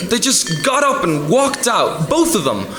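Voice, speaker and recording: Put-upon young musician son, high, glum, swooping delivery, echoey live radio play. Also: male voice, very animated, unhurried, crowd noise. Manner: glum, pleading. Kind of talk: theatrical comic radio play. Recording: echoey hall, live stage recording.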